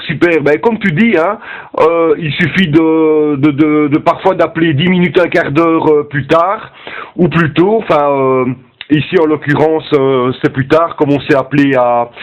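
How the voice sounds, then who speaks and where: A man with a Belgian French accent chatting casually as an amateur radio operator, with a hesitant, halting delivery. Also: fairly high pitch, lo-fi sound. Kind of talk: casual ham radio chat.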